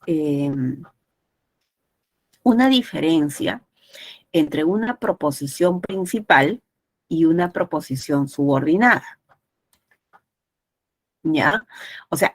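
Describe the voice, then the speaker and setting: Woman, online teacher, congested nose, lowish voice, slow explanatory delivery, frequent check-in questions. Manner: didactic, checks understanding often.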